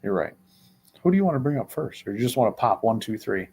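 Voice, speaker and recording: A man's voice: lowish voice, sing-song, fast, darkish tone, breathy edge. A man co-hosting a casual livestream chat, upbeat and playful in banter, greeting viewers. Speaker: co-host.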